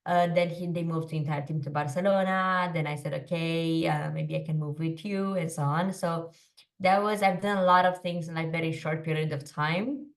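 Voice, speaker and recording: A woman in her thirties from Romania, a podcast guest telling her story in a quick, chatty way with lots of fillers. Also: deep voice, steady tone, distant mic. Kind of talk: casual interview storytelling.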